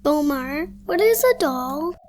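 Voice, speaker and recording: A little alien youngster with a high-pitched voice, eager and curious. The audio play recording has faint noise and clicks. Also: female voice, expressive, takes it slowly. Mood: curious, obedient.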